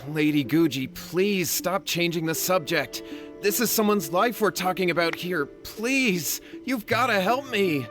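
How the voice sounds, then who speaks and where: A man's voice: high-pitched, expressive, unhurried, music in the background. A man voicing an earnest, nervous young man in a video game who stammers as he pleads for help. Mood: desperate. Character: anxious young man pleading for his brother.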